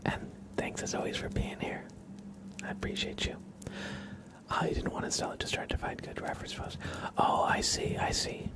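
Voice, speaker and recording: A man hosting an ASMR livestream, chatting gently and haltingly to viewers in a soft, whispery murmur. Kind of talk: ASMR, casual chat with stream viewers.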